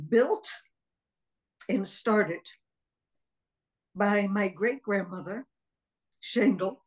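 A woman in her sixties, born in Israel, speaking as a guest psychologist and telling her story in a reflective interview, measured and full of pauses. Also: mid-range voice, low-quality recording. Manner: slow, measured, with frequent pauses and fillers.

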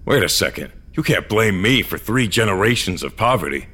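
An actor playing a weary, guilt-ridden, very old augmented great-grandfather in an audio drama, with a hesitant, low-key manner. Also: animated, brisk, sharp tone, husky, heavily acted. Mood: defensive, pleading. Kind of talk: audio fiction acting with first-person narration.

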